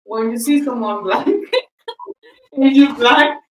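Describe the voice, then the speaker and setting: A woman's voice: fairly high pitch, sing-song, takes it slowly, echoey room, recorded from a distance, slight background noise, rough recording. A woman whose first language is Spanish, telling a story on a podcast in a chatty way, acting out the quoted exchanges. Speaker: podcast host.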